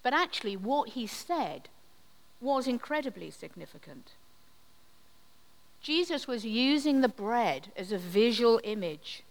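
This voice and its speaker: A woman preaches slowly and gently, her higher voice unhurried as she leads a communion service. The sound rings with a long reverb from a church hall. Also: big pitch swings. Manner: slow, gentle, reflective delivery with pauses. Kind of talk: sermon.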